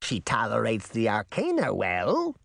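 A man voicing an ancient, imperious witch who speaks slowly and with authority. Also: fairly low pitch, huge pitch swings, vocal fry, a bit bright, no echo, clean studio sound, heavily acted. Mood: calm. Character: ancient imperious female witch, slow and commanding.

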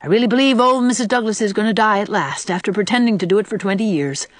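A man's voice: high voice, animated, moderate pace, fairly bright tone, no room sound. A woman voicing a solemn, plain-spoken countryman with dry, folksy speech in an audiobook. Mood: urgent. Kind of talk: audiobook character voice.

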